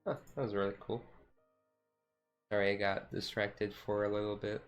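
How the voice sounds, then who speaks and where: A man chatting casually on a relaxed livestream in a rambling, easygoing way. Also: on the low side, normal speed, clean tone, soft background music. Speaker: streamer, tabletop game master. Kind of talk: casual chat on a relaxed ASMR-style livestream.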